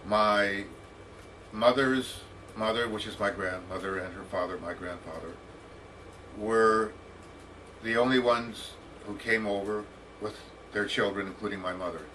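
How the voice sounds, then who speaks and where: An American man of about sixty telling his life story as a professor, with deliberate, halting, formal phrasing. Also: fairly low pitch, steady tone, sharp tone. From United States, Detroit. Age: around 60. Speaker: professor, interview guest. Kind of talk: autobiographical storytelling. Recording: distant mic with faint background noise.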